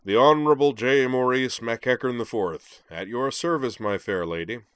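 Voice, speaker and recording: A man voicing a huge, hearty young man with a touch of an island accent and a deep, rich, resonant voice. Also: a little fast, fairly bright tone, no room sound. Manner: hearty, booming, gallant.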